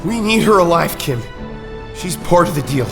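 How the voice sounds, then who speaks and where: An actor playing an earnest, pleading young hero leader in an audio drama. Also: male voice, fairly high pitch, expressive, moderate pace, music in the background. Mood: urgent, pleading.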